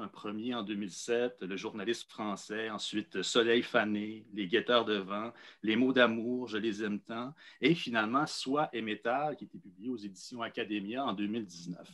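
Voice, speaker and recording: A man with a Québécois accent hosting a literary interview in a measured, explanatory way. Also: fairly low pitch, fairly bright tone. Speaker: host, interviewer.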